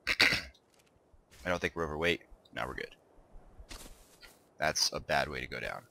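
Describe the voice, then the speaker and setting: Deep-voiced congested man narrating gameplay. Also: takes it slowly, creaky, a little hiss or hum. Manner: relaxed, chatty, talks to himself while building. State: congested, slightly stuffed-up. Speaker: gaming video host. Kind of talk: casual gameplay commentary.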